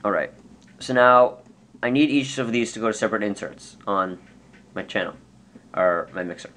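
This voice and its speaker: Low-voiced man in a noisy tutorial recording. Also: moderate pace, a bit bright. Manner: casual, self-correcting, step-by-step explaining. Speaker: tutorial presenter. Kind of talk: unscripted screen-recorded software tutorial. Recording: clear background noise.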